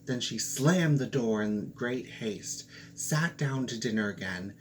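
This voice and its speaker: A man reading a fairy tale aloud, slow and expressive, with playful asides. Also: medium pitch, slight background noise. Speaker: storyteller.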